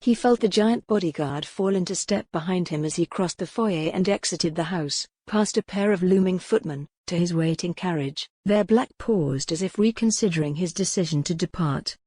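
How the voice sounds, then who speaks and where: A woman reading scripted fiction as an audiobook narrator, in a measured, dramatic manner. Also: fairly low pitch, dark tone, breathy edge, dry recording.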